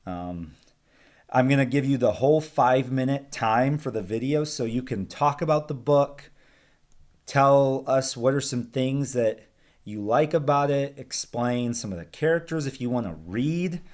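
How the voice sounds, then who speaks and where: A man's voice: medium pitch, measured pace, darkish tone. A man with an American accent talking informally and encouragingly to his pupils as a teacher. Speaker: school teacher. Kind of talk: casual talk to pupils, read-aloud.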